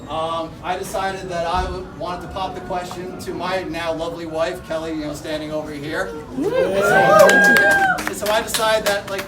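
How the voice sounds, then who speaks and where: A man's voice: high-pitched, expressive, moderate pace, very noisy. A man in his thirties giving a rambling, joking rehearsal dinner toast on a handheld microphone, full of "you know" fillers. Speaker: best-man-style speaker, bride's older brother. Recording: echoey room, voice from far off. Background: loud music and crowd.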